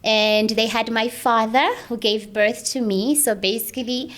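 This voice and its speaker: A woman's voice: fairly high pitch, steady tone, unhurried, a bit bright. A woman from Zambia, telling her life story as an interview guest in an earnest, emphatic way. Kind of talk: personal testimony in conversation.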